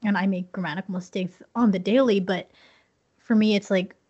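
A woman's voice: medium pitch, steady tone, brisk, darkish tone, slightly rough. A young woman co-hosting a casual conversation, speaking reflectively and candidly. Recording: dull lo-fi audio.

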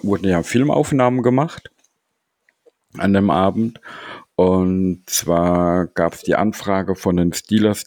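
A man co-hosting a casual podcast chat, calm and matter-of-fact. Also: low voice, no echo, clean studio sound. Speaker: podcast co-host.

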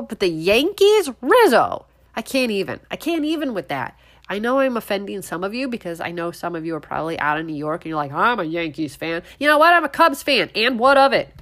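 A woman in her thirties, congested and nasal, hosting a casual opinion monologue with loud outbursts and muttered asides. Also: mid-range voice, big pitch swings, a little fast. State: congested, sniffling. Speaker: podcast host.

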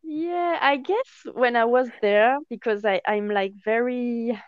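A woman's voice: a little high, takes it slowly, clear, resonant tone, no room sound. A young woman with a French accent, chatting as an interview guest about her work, hesitant and full of fillers. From France. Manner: hesitant, many fillers and restarts. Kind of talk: casual interview chat. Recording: dull lo-fi sound.

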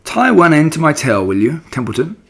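A man's voice: on the high side, very animated, brisk, gravelly, slightly rough, pitched above the performer's own voice, heavily acted. A man voicing an eager, naive young male pig, earnest and childlike, in a children's storybook.

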